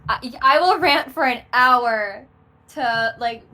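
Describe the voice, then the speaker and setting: A woman's voice: a little high, slow, noisy background. A woman co-commentator in casual banter, blunt and sweary. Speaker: reaction show co-commentator.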